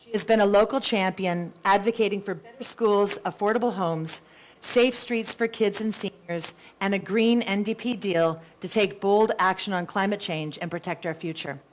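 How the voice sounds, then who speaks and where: A woman's voice: mid-range voice, moderate pace, poor audio. Chatty Canadian woman moderating a debate. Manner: warm, chatty, self-deprecating asides; says she has a really big voice. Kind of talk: live event moderation.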